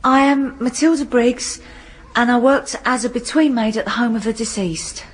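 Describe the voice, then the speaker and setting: An actress playing a deferential, plain-spoken housemaid giving evidence in a radio courtroom drama. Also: female voice, a little high, moderate pace, breathy edge. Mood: earnest, deferential. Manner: deferential, simple rustic grammar.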